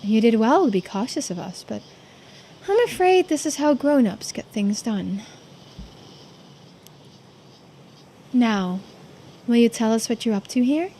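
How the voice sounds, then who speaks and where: A woman's voice: a little high, lively intonation, normal speed, dark tone, a little hiss or hum, pitched below the performer's own voice. A woman voicing a shrewd, commanding female government investigator in a video game, authoritative and calculating. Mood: smug, firm.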